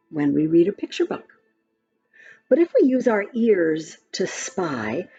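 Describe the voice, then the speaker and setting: A woman reading a children's picture book aloud in a gentle, expressive storytime voice. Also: mid-range voice, breathy edge, dull audio.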